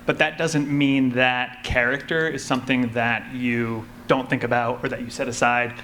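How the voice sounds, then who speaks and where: A man with a mid-pitched voice explains his craft on a live panel. He talks in a measured way, working out his thoughts aloud, with some noise from the room behind him. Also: flat delivery, fairly bright tone. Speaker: panelist, audio drama creator. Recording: noisy live convention room.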